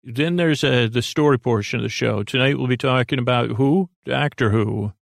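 A man with a creaky voice hosts a sleep podcast, meandering through a low-key, self-deprecating bedtime monologue with hesitant, self-interrupted sentences. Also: normal speed, dry recording, studio quality.

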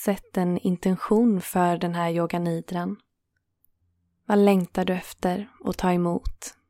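A woman guiding a yoga nidra relaxation in a calm, soothing manner, leaving gentle pauses between phrases. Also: fairly low pitch, no room sound. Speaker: meditation guide and host.

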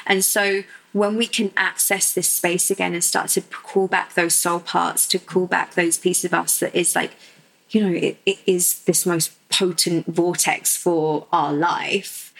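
A woman with a lowish voice and a gravelly edge speaks as a sexuality coach. She talks as a guest in a podcast interview. Her explaining is soft and unhurried, with plenty of fillers. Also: level tone, rough recording.

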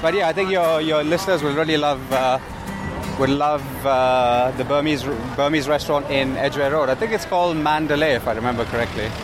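A man's voice: a little high, brisk, music in the background. A man raised in India, a guest in a casual interview, talking eagerly with lots of fillers. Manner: enthusiastic, chatty with many fillers. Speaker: guest, founder of a supper club site. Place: outdoors on a busy street. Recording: traffic noise outdoors.

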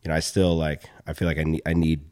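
A young man with a slightly hoarse voice chatting casually as a podcast host, rambling and halting, with some casual swearing. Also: very deep voice, flat delivery, darkish tone. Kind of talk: casual chat.

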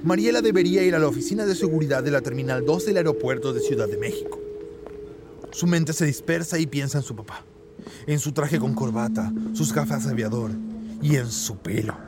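A man's voice: medium pitch, brisk. A man narrating a scripted audio drama in a wry, playful, dramatic way, addressing the listener directly. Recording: loud music underneath.

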